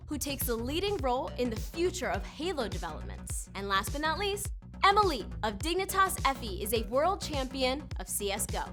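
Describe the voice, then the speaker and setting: A woman reading scripted documentary narration as a host. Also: high voice. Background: music.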